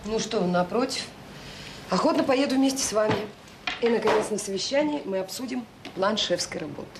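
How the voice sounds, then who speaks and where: An actress playing a warm-hearted, stubborn middle-aged director of a children's home, earnest and insistent, in a naturalistic radio drama. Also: female voice, mid-range voice, lively intonation, a little fast, close to an everyday voice. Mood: wry, businesslike. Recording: echoey reverberant radio play, faint noise.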